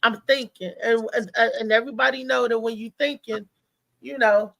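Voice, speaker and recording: A woman chatting casually as a panelist, hesitant and halting, repeating her words as she goes. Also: fairly high pitch, steady tone, creaky, fairly bright tone, no room sound, rough recording. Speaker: talk show panelist. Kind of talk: casual chat.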